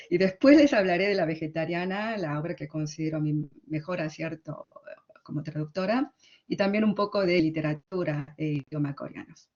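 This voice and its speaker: A woman's voice: sits low, moderate pace, a little mellow. Woman with an Argentine Spanish accent. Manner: conversational, often ends with tag questions. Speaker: literary translator, conference speaker. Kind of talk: conference talk. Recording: slightly dull, lo-fi sound.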